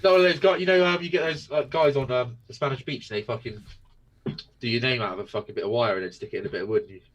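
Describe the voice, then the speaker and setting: A man joining a casual chat, quick and sweary, laughing as he talks. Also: mid-range voice, highs cut off.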